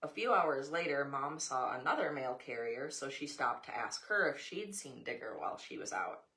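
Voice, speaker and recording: A woman's voice: extremely low pitch, sing-song, moderate pace, pushed and bright. An American woman reads a children's picture book aloud, adding informal asides to a young child. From United States. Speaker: storybook reader, mother.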